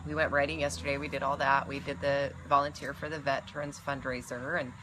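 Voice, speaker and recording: A woman's voice: extremely low pitch, level tone, fairly quick, pushed and bright, slight background noise, rough recording. A woman chatting casually with livestream viewers from her backyard, teasing her partner. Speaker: livestream co-host.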